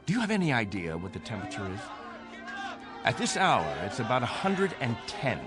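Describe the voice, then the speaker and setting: An actor playing a stern, proud, controlling captain of stranded settlers in a radio drama, with a commanding, insistent manner. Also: male voice, mid-range voice, very animated, a little fast, a loud crowd, natural voice. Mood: troubled, disapproving. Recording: radio drama with music underneath.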